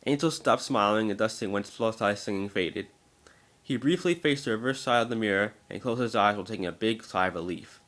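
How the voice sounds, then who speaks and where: A man with a bright, forceful voice, pitched slightly low, narrates a story. It is an amateur dramatic reading with the odd stumble over a word. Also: fairly quick.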